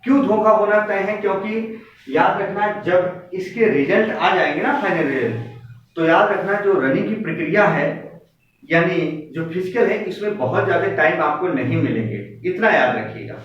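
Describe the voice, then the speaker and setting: A man's voice: sits high, normal speed, a bit bright. A man speaking as a coaching teacher, giving students an exam update in an emphatic, exhorting way with repeated phrases. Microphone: distant microphone. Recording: very echoey room, distant muffled mic, faint noise.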